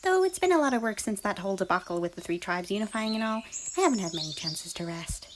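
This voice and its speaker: A woman's voice: medium pitch, quick pace, lightly acted. A woman voicing a bashful, giddy, affectionate young unicorn pony in an ASMR fan fiction story. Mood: weary. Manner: giggly, excitable, dropping into hushed muttering. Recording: clearly noisy, birdsong behind. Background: birdsong and outdoor ambience.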